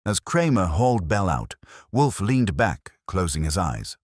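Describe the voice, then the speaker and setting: A very low, clean-voiced man narrates a detective mystery as an audiobook. His delivery is dramatic, with lively swings in pitch, and the sound is dry and close. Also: unhurried, no room sound.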